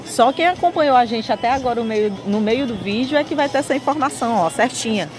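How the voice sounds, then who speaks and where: Woman, Northeastern Brazilian accent, high voice, chatty enthusiastic sales pitch, shopping vlog, music behind. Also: expressive, fast talker. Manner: chatty, addresses viewers as friends. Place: indoor market lingerie stall.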